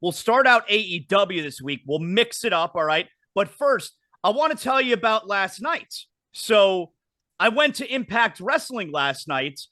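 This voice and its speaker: A man's voice: high-pitched, big pitch swings, measured pace, sharp tone, dry recording. A middle-aged man hosting a casual wrestling talk show, chatty and prone to repeating words as he restarts sentences. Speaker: podcast host.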